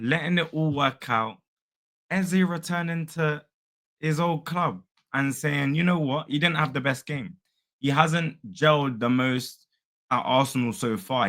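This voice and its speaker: Hoarse young guy doing a footy reaction vid, chatty and upbeat, says um a lot. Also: mid-range voice, fairly bright tone. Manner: chatty and upbeat, lots of fillers. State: losing his voice. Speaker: football fan channel host. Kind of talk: casual match reaction vlog.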